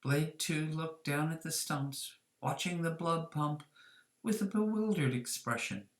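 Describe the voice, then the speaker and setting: A woman's voice: deep voice, unhurried, echoey room. A woman reading a scripted story as its author, with dramatic delivery. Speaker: author reading her own short story.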